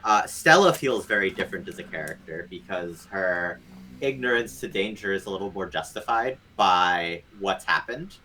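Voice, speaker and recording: A man's voice: low-pitched, animated, moderate pace, sharp tone, slight background noise, rough recording. A man speaking as a game developer guest in a casual interview, explaining things in an analytical, detailed way.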